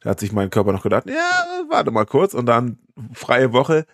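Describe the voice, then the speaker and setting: A man's voice: mid-range voice, wildly swooping pitch, moderate pace, no echo, clean studio sound. A young man with a cold, co-hosting a podcast in a laid-back way and laughing easily. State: has a cold. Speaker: podcast co-host. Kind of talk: casual podcast chat.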